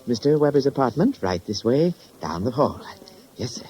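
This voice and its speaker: An actor playing a fussy, obliging, nervous apartment manager in a 1950s radio drama, hesitant and easily flustered. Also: male voice, medium pitch, big pitch swings, normal speed, dark tone, lightly acted. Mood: fussy, reluctant. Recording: dull, noisy old radio recording.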